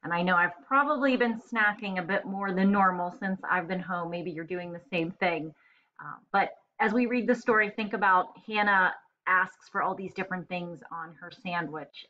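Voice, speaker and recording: A young woman teacher talking casually and directly to young children as she does a read-aloud. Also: lowish voice. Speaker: elementary school teacher. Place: indoor room, webcam screencast. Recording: slightly dull webcam audio.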